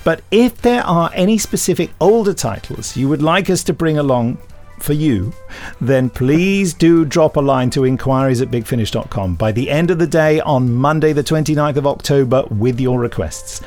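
A man's voice: fairly high pitch, moderate pace, darkish tone, faint music behind. A man hosting an audio drama podcast, reading news with wry jokes. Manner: wry, jokey. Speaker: podcast host.

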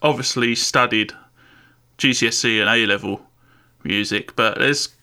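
A man's voice: medium pitch, moderate pace, bright, forceful tone. A man chatting casually over a video call about his work as a composer, modest and rambling, laughing often. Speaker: composer and sound designer. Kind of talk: casual chat.

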